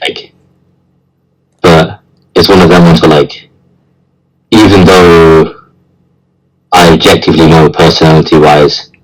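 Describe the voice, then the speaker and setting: A man's voice: very deep voice, flat delivery, a little slow, pauses often, rough recording. A young man, chatting casually as a guest, hesitant and rambling with lots of slang. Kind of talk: casual chat.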